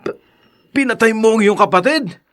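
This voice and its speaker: Worried cautious pal, high male voice, admonishing, exclamatory radio play acting. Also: moderate pace, a bit bright. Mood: alarmed, scolding. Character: cautious, scolding friend who owns a gun. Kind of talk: Filipino radio drama acting.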